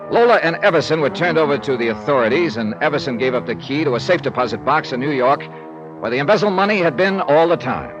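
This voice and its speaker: A man's voice: mid-range voice, highs cut off, lightly acted. An actor playing a wry hard-boiled male insurance investigator who narrates, dry and clipped, in 1950s radio drama style. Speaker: narrator and lead. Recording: old radio transcription with music underneath.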